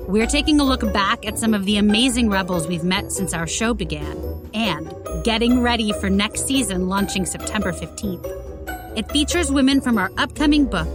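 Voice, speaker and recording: A woman reading a scripted podcast introduction as the show's announcer. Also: medium pitch.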